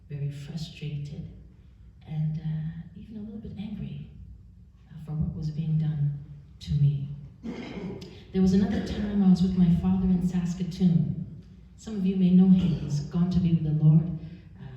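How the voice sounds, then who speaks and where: A woman in her forties from Canada giving an earnest, measured personal testimony at a church service, pausing often. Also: sits low, dark tone. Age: 40s. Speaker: church speaker. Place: church sanctuary. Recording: roomy church, distant mic, dull sound.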